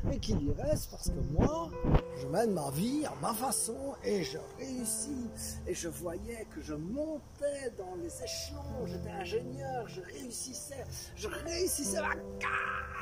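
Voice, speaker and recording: High-voiced man sharing testimony over music. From Belgium. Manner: expressive, conversational storytelling with rhetorical repetition. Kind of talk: testimony told to camera with acted-out moments.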